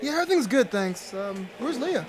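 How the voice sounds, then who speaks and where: A man plays an easygoing young soccer fan with a very high voice for a man. He speaks casually and naturally, like a boyfriend or buddy in an audio drama. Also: very animated, moderate pace, crowd noise. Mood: casual, polite. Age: young adult.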